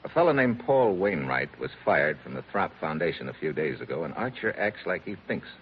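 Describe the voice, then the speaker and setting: An actor playing a dry, tough, unflappable American special agent in a 1940s radio drama. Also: male voice, a bass voice, very animated, brisk. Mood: determined. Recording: muffled, noisy old 1949 radio recording.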